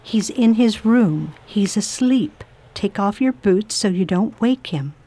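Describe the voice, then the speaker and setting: A woman playing a brisk, determined lady traveler in a radio drama, speaking firmly and commandingly. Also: medium pitch, animated, mellow. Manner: firm, commanding.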